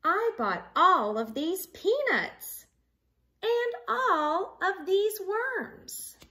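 A woman's voice: very high-pitched, big pitch swings, drawn-out words, sharp tone, pitched above the performer's own voice, full character voice. A woman voicing a kindly mother rabbit from a storybook in a sing-song, emphatic, reassuring way. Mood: reassuring, cheerful.

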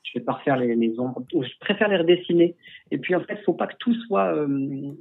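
A man speaking over a thin, phone-like line, his voice a little husky. He is a photographer answering viewer questions in a casual livestream chat. He talks through a headset and stumbles often, circling back with fillers and restarts. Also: fairly high pitch, lively intonation, brisk. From France. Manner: hesitant, many fillers and restarts. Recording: husky, tinny call audio.

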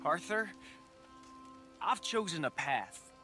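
A man playing a naive, defiant young cult convert in a video game, with a shrill, excitable manner. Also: takes it slowly, soft background music, exaggerated voice. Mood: resolute. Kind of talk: video game character acting.

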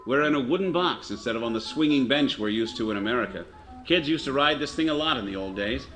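A man's voice: mid-range voice, animated, fast talker, fairly bright tone, natural voice. Man acting a breezy, cynical racketeer over music. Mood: jaunty. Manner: jaunty, glib. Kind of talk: radio drama acting.

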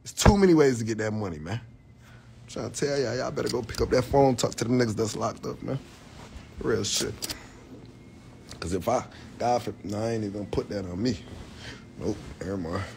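A man's voice: on the low side, normal speed, creaky, long pauses, darkish tone, slight background noise. Joking young man livestreaming from bed. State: tired, late at night.

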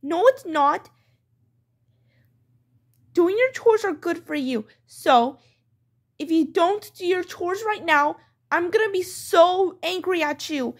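A woman plays a strict, scolding mother in a homemade pretend-play skit. She has a very high, lively voice and speaks slowly. Her manner is firm and repetitive as she lays down the rules. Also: heavily acted. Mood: stern.

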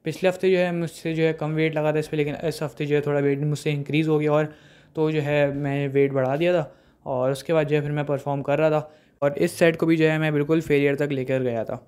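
A young man calmly narrating his gym workout as a voice-over, with many fillers. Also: on the high side, fairly quick, clean tone. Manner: steady recap, heavy fillers.

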